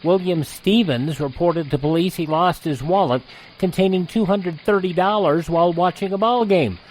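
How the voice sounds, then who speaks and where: A man with a slightly high voice reads scripted news in the manner of a radio headline bulletin. There is faint noise behind him as he works through the items. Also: moderate pace, darkish tone, poor audio. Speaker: radio host reading vintage headlines. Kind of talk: scripted newsreading with datelines.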